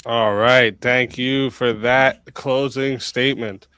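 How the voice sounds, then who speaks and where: A man hosting and moderating a debate stream, wrapping up with rambling thanks to the chat, his voice going hoarse as he gets sick. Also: medium pitch, fairly even intonation, takes it slowly, sharp tone, no echo, studio quality. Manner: rambling, many fillers, thanks the chat at length. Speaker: debate show host and moderator. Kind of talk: casual livestream wrap-up.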